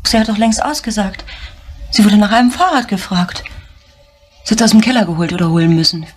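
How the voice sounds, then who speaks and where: A woman's voice: medium pitch, fairly quick, natural voice. An actress playing a confident, observant woman helping an investigation in a crime drama. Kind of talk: German crime drama acting.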